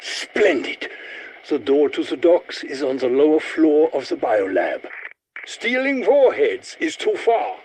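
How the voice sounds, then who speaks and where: An actor playing an eccentric, vain older German scientist in a video game, with a German accent and a precise, pompous, theatrical delivery. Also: male voice, on the high side, very animated, takes it slowly, a little mellow, slight background noise, rough recording. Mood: focused, theatrical. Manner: theatrical, precise, pompous, old-fashioned wording. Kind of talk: video game character voice lines.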